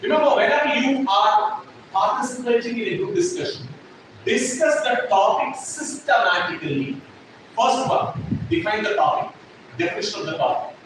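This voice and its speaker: A man, lecturing a classroom as a trainer, emphatic and repeating his points while checking whether the class follows. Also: high-pitched. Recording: echoey classroom, distant mic, noisy.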